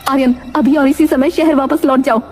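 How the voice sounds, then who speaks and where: A woman's voice: sits high, steady tone, a little fast, voice pushed up, lightly acted. A woman voicing a tense, stern mother in a horror audio story, speaking urgently over music. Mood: alarmed. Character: tense mother, urgently sending her son away. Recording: very loud music under the voice.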